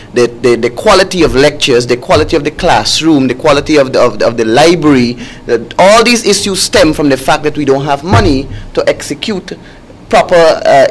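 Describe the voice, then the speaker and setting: A man's voice: on the high side, slight background noise. A young man with a Guyanese accent speaking as a student activist in a panel discussion, emphatic and repeating phrases for stress. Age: young adult.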